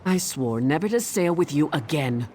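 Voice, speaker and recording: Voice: medium pitch, animated, normal speed, darkish tone, lightly acted. Performer as grudging female sea captain, fantasy game; swinging intonation, curt delivery, ambient noise behind. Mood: resentful. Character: resentful, gruff female ship captain.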